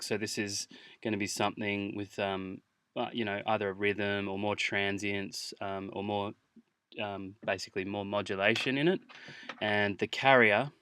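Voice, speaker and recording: A man teaching music software in an informal tutorial, hesitant as he thinks aloud while demonstrating. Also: on the low side. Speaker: music production instructor. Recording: clear background noise, mouse clicks.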